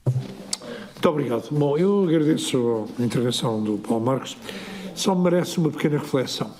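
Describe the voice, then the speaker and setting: An older man answers in a municipal assembly with a calm, deliberate delivery at a middling pitch. A faint noise runs beneath his voice in the chamber. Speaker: mayor replying in the assembly. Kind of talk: formal reply in a municipal assembly.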